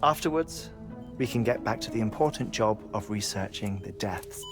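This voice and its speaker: A melancholy, low-voiced middle-aged scientist. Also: male voice, animated, loud music behind the voice. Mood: grave, earnest. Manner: measured, reflective, articulate. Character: melancholy middle-aged scientist, intellectual and wistful, haunted by loss. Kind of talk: dramatic game cutscene monologue.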